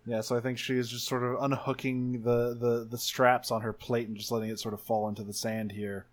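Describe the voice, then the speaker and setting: A man's voice: mid-range voice, flat delivery, brisk. A man casually improvising narration as a player in a tabletop role-playing game.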